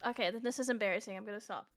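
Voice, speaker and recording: A woman's voice: medium pitch, rapid-fire. A woman guest in a casual chat, laughing often and rambling in slangy speech. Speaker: guest streamer.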